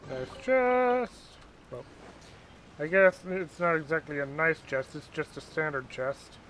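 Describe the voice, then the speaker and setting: A man's voice: fairly high pitch, normal speed, sharp tone, slight background noise. A man giving casual commentary while playing a video game, in hesitant, wry asides. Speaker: gaming streamer.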